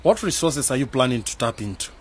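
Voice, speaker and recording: A man's voice: mid-range voice, lively intonation, fast, a little hiss or hum, close to an everyday voice. A man acting in a radio drama as a skeptical, pragmatic young planner, challenging and matter-of-fact. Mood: probing.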